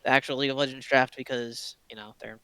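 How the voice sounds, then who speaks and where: A man's voice: mid-range voice, fairly even intonation, fast talker, sharp tone, clear, resonant tone, no echo, low-quality recording. A young man co-casting an esports match, teasing and easygoing. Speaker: esports co-caster. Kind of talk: casual pre-game esports commentary.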